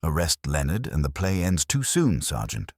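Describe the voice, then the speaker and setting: Very deep, mild police inspector acted by a man. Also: huge pitch swings, normal speed, no room sound, clean studio sound, full character voice. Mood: quietly measured. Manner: mild, pleasant, quietly pointed. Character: calm, mildly ironic male police inspector, courteous and patient.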